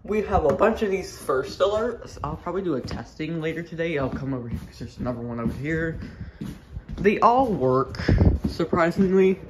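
A man's voice: on the high side, animated, normal speed. A young man giving an offhand, rambling house tour in casual vlog style, talking into a handheld phone. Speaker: vlogger. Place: walking through a house. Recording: noisy handheld phone recording with clicks.

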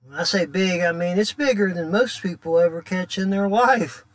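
Middle-aged man with a higher-pitched voice. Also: moderate pace. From United States. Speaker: fishing live-stream host. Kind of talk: casual live-stream chat. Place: outdoors aboard a small sailboat at a dock.

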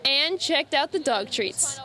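High-voiced young woman reporter. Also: animated, takes it slowly, music in the background. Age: 20s. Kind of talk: scripted local TV narration.

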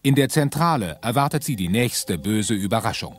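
A man's voice: lowish voice, animated, moderate pace, a little hiss or hum. A man narrating a science fiction radio play from a script.

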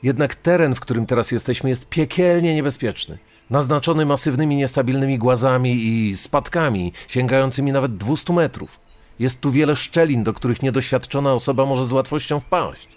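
A man reads scripted true-crime material for a podcast. His voice is of average pitch, and the delivery is that of a prepared documentary read. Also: fairly quick.

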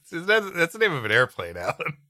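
Bright-voiced, animated man co-hosting. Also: on the high side, normal speed, no echo. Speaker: podcast co-host. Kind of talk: comic banter and story reading.

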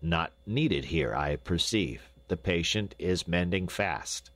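Storybook doctor, voiced by a man: gruff, brusque, clipped delivery, deep register, dry sound. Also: animated, takes it slowly, fairly bright tone, no echo, heavily acted. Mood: hasty. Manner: curt, clipped.

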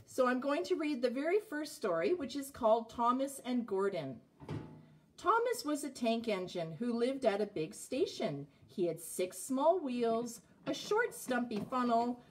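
A woman in her forties reading a children's picture book aloud, with expressive phrasing and a slightly husky voice. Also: fairly high pitch, a little hiss or hum. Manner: expressive, clearly phrased narration with asides about the pictures. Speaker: storybook reader. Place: indoors, child's playroom.

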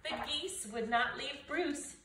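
A middle-aged woman reading a children's storybook aloud in an animated way, with dramatic emphasis. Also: high voice, takes it slowly. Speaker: storybook reader. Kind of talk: expressive children's read-aloud. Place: indoors, living room by brick fireplace and window. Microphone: camera a few feet away. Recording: roomy, distant mic, faint noise.

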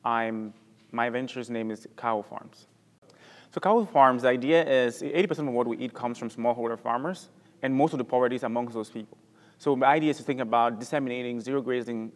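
A young man from Cameroon, an MBA student, briskly explaining his venture to camera in a large elegant room. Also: mid-range voice. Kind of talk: testimonial to camera. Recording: reverberant room.

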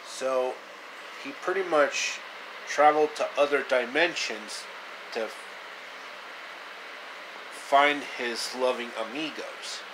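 A man in his thirties telling an improvised story casually to camera, halting and repeating phrases, in a noisy small room. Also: medium pitch. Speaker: amateur storyteller. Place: small indoor room, reclining. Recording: clear air conditioner noise.

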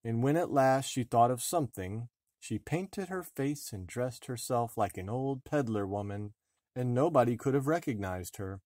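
A man reading a storybook aloud in measured, deliberate narration. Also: mid-range voice, expressive, a little mellow, no echo, clean studio sound. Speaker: storybook reader, a father. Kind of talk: read-aloud fairy-tale narration.

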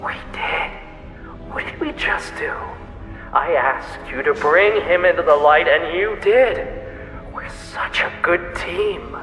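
An actor playing an unhinged, bitter, obsessive serial killer in an audio drama, halting and menacing, moving between breathy hush and outbursts. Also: male voice, on the high side. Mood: eager, obsessive. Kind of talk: theatrical audio drama monologue. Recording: echoey reverberant hall, faint music behind.

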